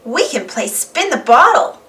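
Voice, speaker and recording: A woman's voice: high-pitched, big pitch swings, a little slow, sharp tone, distant mic, pitched above the performer's own voice, heavily acted. A woman voicing a friendly, eager young schoolgirl in a children's storybook. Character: friendly, enthusiastic young schoolgirl, loyal pal.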